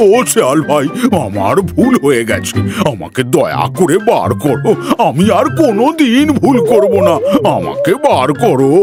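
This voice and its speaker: A man's voice: on the high side, wildly swooping pitch, normal speed, hardly any pauses. A man voicing a foolish, blustering cartoon tiger with an exaggerated, whiny and repetitive way of talking. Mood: desperate pleading. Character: foolish, ungrateful tiger, blustering then whining and pleading. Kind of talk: animated moral fable dialogue. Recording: music under the voice.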